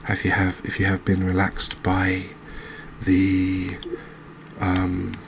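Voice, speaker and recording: A soft-spoken man narrating a gaming recap in a calming, hesitant ASMR style. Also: sits low, slow, creaky, background noise. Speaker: host of a cozy gaming channel.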